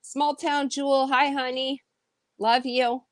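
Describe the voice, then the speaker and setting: A woman's voice: high voice, little pitch movement, slow, no room sound. A woman co-hosting a casual livestream chat, greeting viewers as "honey" and keeping the talk light. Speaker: co-host.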